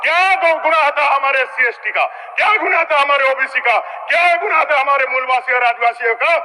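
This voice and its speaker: A man's voice: extremely high pitch, fairly quick, pushed and bright. A man gives a combative, accusatory political speech in an assembly, repeating phrases for emphasis. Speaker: opposition legislator. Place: large indoor legislative chamber. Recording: reverberant hall, public address sound.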